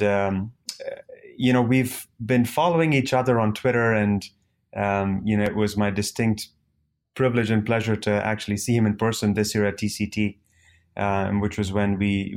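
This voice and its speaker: A man hosting an interview show, speaking conversationally in a polite, halting way. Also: lowish voice, flat delivery. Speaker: podcast host, interviewer.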